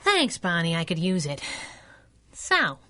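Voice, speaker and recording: A woman's voice: fairly low pitch, huge pitch swings, slow pace, pushed and bright, heavily acted. A woman playing a plucky, wisecracking superhero sidekick in a 1930s-style radio drama. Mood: breezy, wry. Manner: breezy, wisecracking, slangy.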